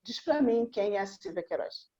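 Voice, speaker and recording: A woman's voice: mid-range voice, animated, normal speed, warm tone, dull audio, a little hiss or hum. A woman hosting an online talk show, introducing her guest with flowing, repeated phrases.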